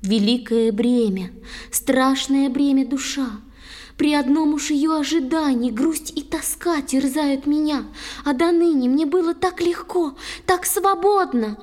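Childlike water spirit, high-voiced. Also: female voice, a little slow, darkish tone. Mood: sorrowful, anguished. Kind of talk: theatrical verse audio play for children. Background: music with flute.

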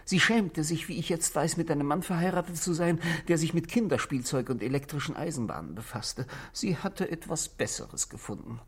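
Husky, bitter, controlled husband. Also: male voice, fairly high pitch, lively intonation. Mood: bitter, confessional. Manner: formal, deliberate, precise phrasing. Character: controlled, bitter married man confessing to police. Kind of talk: 1960s German radio drama acting.

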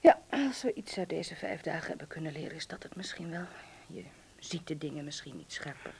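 An actress playing a strict, distraught middle-aged mother in a wartime radio play, swinging between hushed dismay and sharp scolding. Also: deep voice, brisk, gravelly, whispered. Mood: stunned, subdued.

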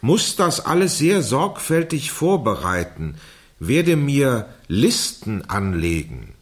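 An actor playing a man who recites a written plan aloud in a radio play, deliberate and pointed. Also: mid-range voice, wildly swooping pitch, breathy edge, full character voice. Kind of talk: 1970s German radio play, reading aloud.

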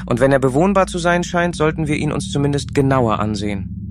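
An actor playing a rational, optimistic and somewhat dismissive space explorer in a science fiction audio drama. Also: male voice, on the high side, expressive, fairly quick, background noise, close to an everyday voice. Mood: confident. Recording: music behind the voice.

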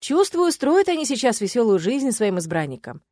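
A woman voicing a wry, measured old male ghost. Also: a little high, sing-song, a little mellow, no echo, studio quality, voice pushed up.

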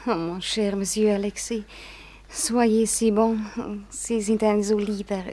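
A woman playing a flattering French mademoiselle in a radio drama, coquettish and coaxing. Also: mid-range voice, measured pace, natural voice. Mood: sweet, coaxing.

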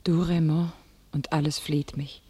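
An actress playing a fated young warrior maiden, solemn and resolute, in a classical verse radio drama. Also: female voice, low-pitched, level tone, a little slow, dark tone, breathy edge. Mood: forsaken, resolute. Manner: solemn, fatalistic. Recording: long reverberation on the voice.

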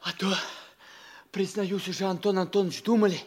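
An actor playing an excitable, gossipy, servile little provincial gentleman in a theatrical classic comedy, breathless and self-important. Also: sits high, a little fast, lightly acted. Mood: gossipy, confiding.

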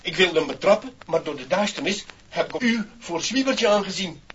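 An actor playing a stiff, formal Dutch castle butler, prim and easily flustered, in a 1960s radio play. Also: male voice, high voice, expressive, moderate pace, fairly bright tone. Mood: anxious, explaining. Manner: formal, indignant, stammering when cornered. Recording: dull, faintly hissy old record.

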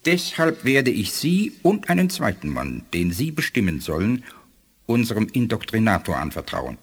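An actor playing a dignified, shrewd alien scientist in a 1980s German sci-fi radio play, speaking in a measured, formal, didactic way. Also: male voice, fairly low pitch, huge pitch swings, husky, exaggerated voice. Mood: solemn, persuasive.

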